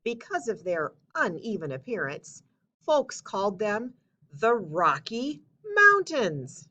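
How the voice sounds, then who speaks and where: A woman reading a children's storybook aloud as narrator, with expressive, emphatic phrasing. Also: medium pitch, takes it slowly. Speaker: story reader.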